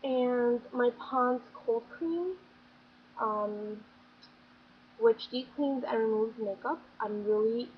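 A woman's voice: fairly high pitch, takes it slowly. Chatty young woman, slightly scratchy voice. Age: young adult. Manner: chatty, gushing, lots of "like" and "um". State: slightly scratchy, getting sick. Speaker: beauty vlogger. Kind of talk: casual product review chat. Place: small bedroom. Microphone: built-in webcam mic. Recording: dull lo-fi webcam audio with faint room noise.

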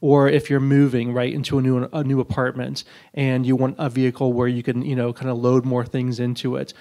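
Even-toned man on a discussion panel. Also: mid-range voice, fairly quick. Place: indoor auditorium panel before an audience. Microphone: handheld microphone.

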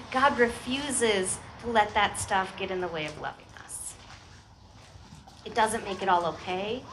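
A woman's voice: mid-range voice, fairly bright tone, rough recording. A middle-aged American woman pastor giving an earnest, conversational sermon with informal asides and rhetorical questions, speaking into a headset microphone. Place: outdoor church service in a garden. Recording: outdoor live recording with ambient noise.

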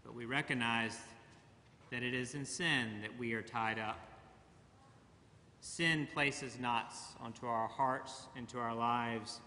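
A middle-aged man preaching a sermon from a church pulpit, slow and measured with long pauses. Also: medium pitch, steady tone, bright, forceful tone, a little hiss or hum. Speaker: pastor. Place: large church sanctuary, at a pulpit. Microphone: pulpit mic, far camera. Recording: church hall reverb.

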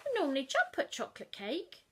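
Woman doing a sharp, suspicious mum. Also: sits high, wildly swooping pitch, fairly quick, pitched above the performer's own voice, exaggerated voice. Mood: brisk. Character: stern mother catching her son out.

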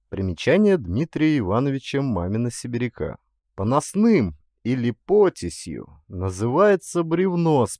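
Animated male audiobook narrator. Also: medium pitch, no room sound, studio quality. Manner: dramatic, storytelling. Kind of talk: expressive scripted literary narration.

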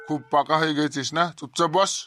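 A man's voice: on the high side, a little fast, sharp tone, no room sound, studio quality, soft background music. A man voicing a short-tempered middle-aged male schoolteacher in a comic cartoon, blunt and sarcastic with his pupils. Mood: exasperated, scolding. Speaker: cartoon voice actor.

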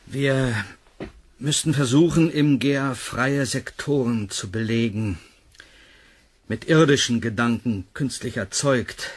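An actor playing a domineering, impatient professor in a German sci-fi audio drama, speaking in a curt, commanding way. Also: male voice, medium pitch, slow, husky. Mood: deliberate, commanding. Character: domineering, impatient male professor leading research.

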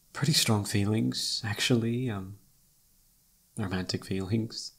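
Young male best friend played by a man, romantic audio roleplay. Husky with vocal fry, intimate, emotional, halting, self-reproachful. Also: on the low side, a bit bright, exaggerated voice. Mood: nervous, tender.

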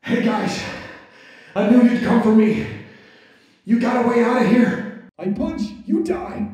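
An actor playing a defiant, vengeful wounded crime boss held captive in a video game, with a foul-mouthed manner. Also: male voice, extremely high pitch, expressive, unhurried, darkish tone. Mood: relieved. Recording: heavily echoing game audio.

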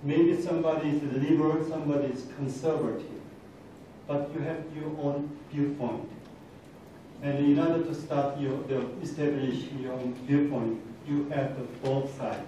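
Man in his fifties, Korean accent, earnest halting lecturer, mellow tone, heavy room echo. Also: fairly high pitch, steady tone. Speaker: guest speaker. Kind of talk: motivational lecture to students. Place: large indoor university lecture hall. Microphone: handheld microphone, captured from across the room. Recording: very echoey hall, distant, faint noise.

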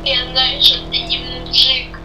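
A woman's voice: high voice, completely flat delivery, takes it slowly, noisy background. A young woman gamer making short, offhand remarks on a voice call while playing. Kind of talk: casual chat while playing. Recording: roomy distant mic with music behind.

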